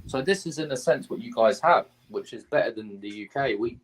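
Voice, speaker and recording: A man's voice: medium pitch, expressive, fairly quick, poor audio. A man from England hosting a live stream, asking questions in a casual, curious chat.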